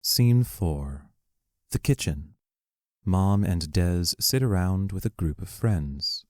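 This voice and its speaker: A woman's voice: very deep voice, lively intonation, slow pace, a little mellow, dry recording, clean studio sound, full character voice. A woman reading the stage directions of an audio play as narrator.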